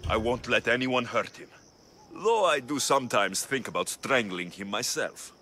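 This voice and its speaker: An actor playing a tough, sardonic, protective mercenary of ancient Greece in a video game cutscene, blunt and commanding. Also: male voice, medium pitch, expressive, normal speed, pushed and bright, soft background music. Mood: firm, dry. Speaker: mercenary hero.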